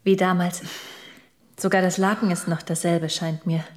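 A woman's voice: lowish voice, level tone, normal speed, no room sound, natural voice. An actress playing a wilful, emotionally raw woman who resists her female lover, speaking tersely and insistently in a German radio play. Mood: tense longing. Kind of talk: German radio drama dialogue. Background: music.

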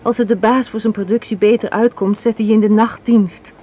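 Through a slightly hissy, muffled old radio-play recording comes an actress as a young woman with a sharp mind and a cynical streak. She argues assertively and articulately, with a sardonic edge. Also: fairly high pitch, fairly even intonation, fairly quick, lightly acted. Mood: bitter, lecturing. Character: assertive, cynical young woman arguing against a marriage proposal.

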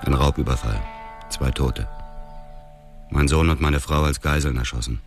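An actor playing an embittered, grieving middle-aged widower, narrating a radio drama in a terse, restrained way. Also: male voice, a bass voice, normal speed, over music. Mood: sombre, numb.

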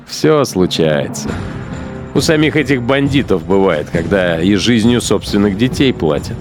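A man's voice: on the low side, expressive, measured pace. An actor playing a cynical, menacing male battalion commander in a radio drama, musing coldly with coarse, sneering contempt. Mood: cold, sardonic. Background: music behind the voice.

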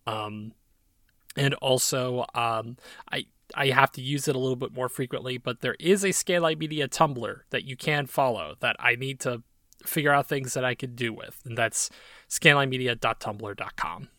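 Man, podcast co-host, bright tense voice, dry close sound, rambling casual talk. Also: medium pitch, normal speed, no room sound. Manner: rambling, filler-heavy, enthusiastic. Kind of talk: casual gaming podcast chat.

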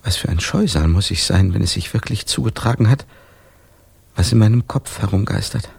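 An actor in a radio drama playing a tormented man with no memory, confessing in a halting, brooding, intense way. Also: fairly low pitch, creaky, darkish tone, slightly rough, heavily acted. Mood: anguished, brooding. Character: tormented amnesiac man haunted by gruesome visions.

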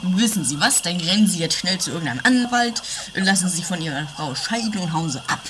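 A man's voice: sits high, lively intonation, fairly quick. Guy playing curt bossy possessive husband, trashy impro radio comedy, noisy old tape. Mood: dismissive. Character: brusque, possessive new husband of a guru. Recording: noisy 1980s homemade tape.

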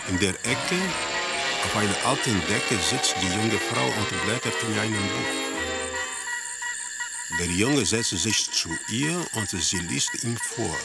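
An actor playing a detached narrator in a radio drama, describing eerie scenes in a measured, matter-of-fact way. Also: male voice, on the low side, heavily acted.

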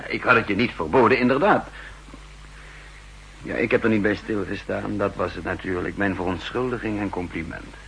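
An actor playing a guarded, authoritative spy chief with a husky voice, clipped and formal, in a 1960s radio play. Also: male voice, on the low side, moderate pace, fairly bright tone, a little hiss or hum, low-quality recording. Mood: curtly conceding. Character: authoritative, guarded male spy chief, curt with his servant.

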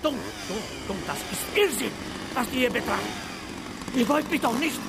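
A man's voice: extremely high pitch, animated, moderate pace, vocal fry, very noisy, exaggerated voice. A male actor in a German radio drama playing a bullying, panicky forest foreman who barks orders in coarse, impatient slang. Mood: alarmed, angry. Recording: loud sound effects and music behind.

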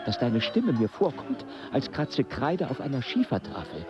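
Man acting embittered surveyor, acerbic, dramatic, wide-ranging pitch, muffled sound, music behind, radio drama. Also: very animated, moderate pace, lightly acted. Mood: bitter. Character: bitter, self-loathing land surveyor lamenting his lot.

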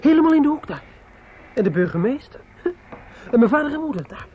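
Eager young helper, noisy dull recording. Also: female voice, high voice, sing-song, a little fast. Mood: eager, nervous. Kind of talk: radio drama acting.

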